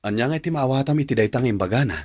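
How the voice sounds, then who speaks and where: Radio drama lead: man playing a defensive man in love, Ilocano accent, slightly rough husky voice, dry sound. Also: medium pitch, expressive, racing, darkish tone, no room sound, natural voice. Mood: puzzled, defensive. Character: lovestruck, defensive man in love with a separated woman.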